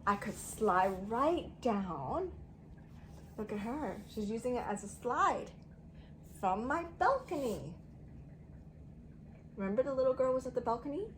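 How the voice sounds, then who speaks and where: A woman teacher reading a story aloud to young children in an expressive way, using simple phrasing and putting questions to them. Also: fairly high pitch, unhurried, pauses often, a little hiss or hum. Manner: expressive, emphatic delivery.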